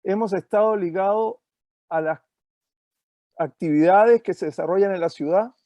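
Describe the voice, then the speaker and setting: A man from Chile with a Chilean Spanish accent, giving an online lecture over a video call and pausing often with filler sounds. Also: high-pitched, dark tone, no room sound. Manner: hesitant, many fillers. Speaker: guest speaker.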